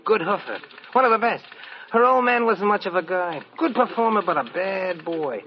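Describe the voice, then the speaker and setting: An actor playing a shady, chummy theatrical agent with sly, slangy talk in an old-time radio detective drama. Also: male voice, high voice, expressive, normal speed. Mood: chatty, slangy. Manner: slangy wise-guy talk with sly hesitations. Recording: muffled, slightly noisy vintage radio recording.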